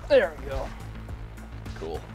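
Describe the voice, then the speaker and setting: A young man co-hosting a fishing show, making short, quiet remarks as he lands fish from a boat on a lake. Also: mid-range voice, wildly swooping pitch, slow, over music. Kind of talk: casual on-the-water commentary. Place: small boat on an open lake outdoors.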